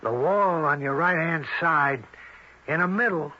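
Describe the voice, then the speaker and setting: A muffled old radio broadcast carries a bossy American gangster. His voice is hard-edged and rough, and he talks in streetwise slang with open menace. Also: fairly high pitch, a little slow, pushed and bright. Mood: commanding. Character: menacing Italian-American mobster, male fugitive, slangy and bossy. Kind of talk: old-time radio drama acting.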